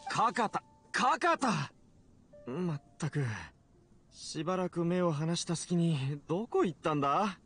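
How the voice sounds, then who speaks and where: A man's voice: on the high side, animated, unhurried, fairly bright tone, slight background noise. A man voicing an ambitious, obsessive young researcher in an anime game, speaking in a theatrical, grandiose way. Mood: exasperated, calling out. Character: ambitious, obsessive male researcher talking to his robot.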